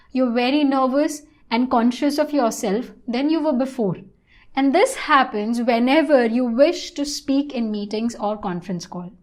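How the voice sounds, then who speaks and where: A woman presenting an online English lesson in a scripted, instructional style, with deliberate and emphatic phrasing. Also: sits high, a little mellow.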